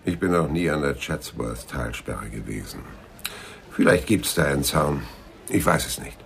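A shrewd private eye in a noir radio play. His voice is extremely deep, with a lively rise and fall. He probes bluntly and dryly. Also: normal speed, gravelly, a little hiss or hum, exaggerated voice. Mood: grim, dry. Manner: blunt, probing, dry. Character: Philip Marlowe, hard-boiled, shrewd private detective. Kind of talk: 1990s German radio crime drama acting.

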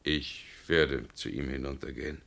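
A deep, gravelly troubled man. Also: steady tone, moderate pace, fairly bright tone, full character voice. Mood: tense. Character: troubled man, urged to murder his double.

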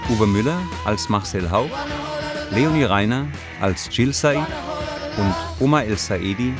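A male announcer reads scripted credits for a radio drama. His voice is fairly low. Loud guitar music runs behind him. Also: animated, a little slow.

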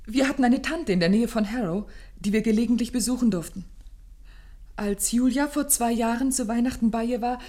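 An actress playing a distressed middle-aged gentlewoman in a radio drama, recounting a family tragedy with precise, grave diction. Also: on the high side, a little fast, close to an everyday voice. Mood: grave, composed. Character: distressed gentlewoman recounting her twin sister's terrible death. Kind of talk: radio drama narration and dialogue.

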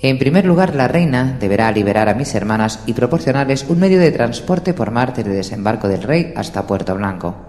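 A man's voice: medium pitch, a little mellow, close to an everyday voice. A man voicing a firm, commanding young northern king who sets terms and demands oaths, with a roomy, echoing sound. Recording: roomy echo with long reverb.

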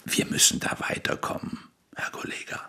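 An actor playing a cool, politely condescending police inspector in a German radio drama, with a gravelly, husky voice and a measured, probing manner. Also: male voice, bright, forceful tone, in a whisper. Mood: coolly condescending.

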